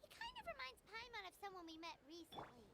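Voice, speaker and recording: A woman voicing a tiny, chatty, childlike companion creature in a video game, curious, blunt and excitable. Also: fast, very noisy, heavily acted. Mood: musing. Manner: squeaky, excitable, speaks of herself in third person. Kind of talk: scripted video game dialogue.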